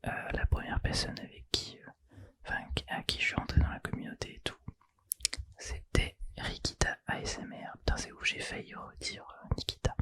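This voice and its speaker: A man's voice: moderate pace, pushed and bright, no echo. A young man whispering a casual, slangy chat for an ASMR channel, close to the mic. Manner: casual and slangy, self-deprecating. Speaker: ASMR YouTuber.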